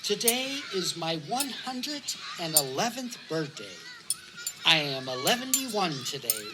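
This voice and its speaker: A woman playing a jovial, eccentric elderly male hobbit in an audio drama, orating in a grand, deliberate manner. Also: fairly low pitch, sing-song, very noisy. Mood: grandly jovial. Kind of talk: theatrical audio drama speech.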